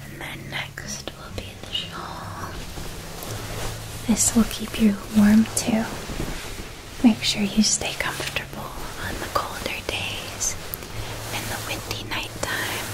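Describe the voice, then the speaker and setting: Woman as a whispering, slow, gentle witch. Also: medium pitch, close to an everyday voice, poor audio. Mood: caring, gentle. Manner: soft, unhurried, caring, descriptive. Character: gentle, attentive witch outfitting an academy student. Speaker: ASMR performer. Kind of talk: whispered ASMR roleplay.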